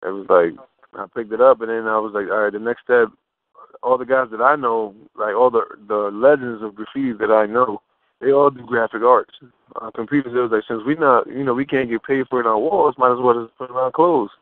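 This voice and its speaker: A man's voice: medium pitch, steady tone, brisk, no room sound. A man giving a casual interview as a guest over the phone, talking informally with slang and rephrasing himself as he goes. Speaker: interview guest, fashion model. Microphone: telephone. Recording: muffled narrow phone line.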